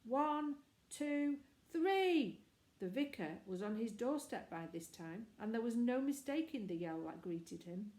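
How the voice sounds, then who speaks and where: A woman reading a children's story aloud as expressive scripted narration. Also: mid-range voice, moderate pace, hardly any pauses. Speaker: storybook reader. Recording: echoey room.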